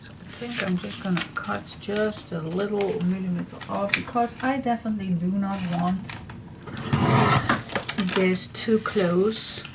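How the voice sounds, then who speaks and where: A woman hosting a papercraft tutorial, chatting casually while she works, her voice a little froggy and hoarse. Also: medium pitch, noisy background. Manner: chatty, slow and relaxed, talks to herself while she works. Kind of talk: casual crafting tutorial talk.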